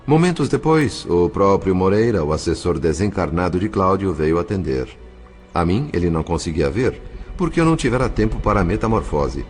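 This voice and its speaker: A man narrating an audio novel from a script, with a measured, literary, dramatic delivery. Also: sits low, sing-song, faint music behind.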